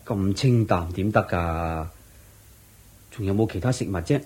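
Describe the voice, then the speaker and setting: Radio play devout, careworn young father, Hong Kong Cantonese accent, lowish husky voice, earnest and plain-spoken. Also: unhurried, darkish tone. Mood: gentle. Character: poor, devout, worried young husband and father. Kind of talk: Cantonese-language Buddhist radio drama acting.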